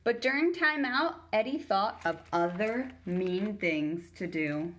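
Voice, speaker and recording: A woman's voice: mid-range voice, expressive, slow, a bit bright. A woman reading a children's story aloud as a teacher would, narrating expressively for young listeners.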